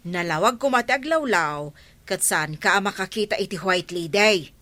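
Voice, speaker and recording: A woman's voice: mid-range voice, animated, moderate pace, bright, forceful tone. A woman acting a stern, matter-of-fact village woman in a comedic radio drama, speaking in a firm, admonishing way.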